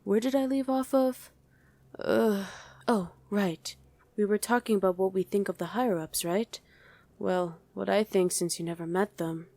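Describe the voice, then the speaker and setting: A woman's voice: medium pitch, fairly even intonation, moderate pace, dark tone, no room sound, lightly acted. A woman playing a wry, easily irritated demon in a conversational ASMR roleplay, chatting and bickering with a partner. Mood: musing. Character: female demon on mortal-hunting duty, wry, easily irritated. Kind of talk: ASMR roleplay acting to an unheard listener.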